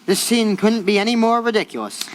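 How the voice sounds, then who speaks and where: A young actress playing a wry, nostalgic narrator who comments to the audience about musicals. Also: female voice, medium pitch, animated, brisk, reverberant, close to an everyday voice. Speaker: child actor in a musical.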